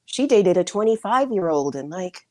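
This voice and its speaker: A woman co-host from New England, chatting in a playful, animated way. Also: medium pitch, normal speed, dry recording, clean studio sound. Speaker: podcast co-host. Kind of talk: casual chat.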